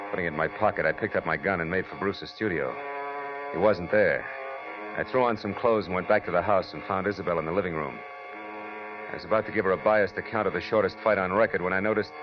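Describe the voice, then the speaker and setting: An actor playing a wry, wisecracking hardboiled detective in a 1940s radio drama. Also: male voice, sits low, natural voice. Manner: wry, clipped, sardonic. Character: wisecracking hardboiled private detective narrating his own case. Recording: dull old radio recording, loud music behind.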